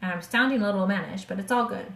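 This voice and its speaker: A hoarse woman chatting eagerly to her viewers on a live stream, her voice at an ordinary middle pitch. She trips over her words and restarts often. The sound rings in an echoey room. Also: very fast, a little hiss or hum. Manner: enthusiastic, chatty, many restarts and fillers. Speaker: essential oils seller hosting a webinar. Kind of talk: casual live-stream sales talk.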